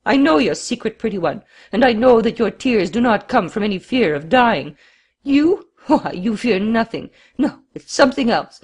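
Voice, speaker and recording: A woman voicing a murderous crippled man who lurches between frantic pleading and furious shouting in an audiobook. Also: fairly low pitch, expressive, normal speed. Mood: raging. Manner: wild swings from pleading to shouting.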